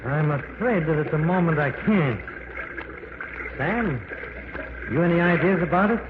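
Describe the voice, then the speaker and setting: An actor playing a calm, learned male professor exploring caverns, measured and reassuring, in an old radio drama. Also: fairly high pitch. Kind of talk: 1940s radio acting. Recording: tinny old radio broadcast, noisy, echoey.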